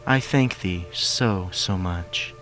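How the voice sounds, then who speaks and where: A man voicing a gentle, regal female pony princess, soft-spoken and affectionate, with archaic courtly speech. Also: low voice, slow pace, soft background music. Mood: tender.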